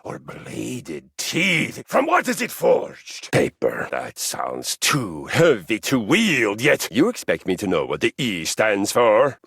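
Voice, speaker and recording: A man voicing a boastful, violence-obsessed male warrior who is a living handrail in a dating game, talking in a grandiose, theatrical way that swings from booming shouts to hushed menace. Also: huge pitch swings, slow, vocal fry, hardly any pauses, breathy edge, no room sound, studio quality, heavily acted. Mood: bombastic. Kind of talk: video game voice acting.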